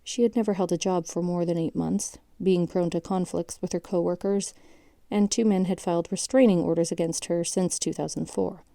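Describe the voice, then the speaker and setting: An actress plays a detached case-file narrator in an audio drama. Her female voice is slightly low and extremely mellow, recorded close and dry. The delivery is measured, sober and factual. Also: fairly even intonation, gravelly, no room sound. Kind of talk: scripted documentary-like narration.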